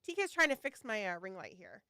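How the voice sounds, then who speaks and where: A woman's voice: medium pitch, wildly swooping pitch, fairly quick, pushed and bright, clear, resonant tone, dry recording, clean studio sound. A woman hosting a casual livestream for her shop, chatty and a little scattered, with a slightly nasal voice from a head cold. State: getting over a head cold. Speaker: yarn shop owner hosting a launch livestream.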